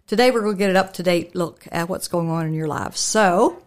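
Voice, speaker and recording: A middle-aged woman pastor hosts a faith radio talk show, her voice sitting slightly low. She speaks gently and unhurriedly, pausing on ums as she leads the conversation.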